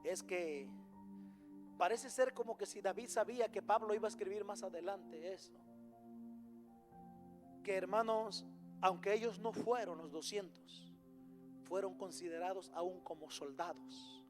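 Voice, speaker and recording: High-pitched Latin American preacher, faint music behind. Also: male voice, a little slow, long pauses. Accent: Latin American Spanish. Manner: emphatic, addresses the congregation with rhetorical questions. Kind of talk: sermon.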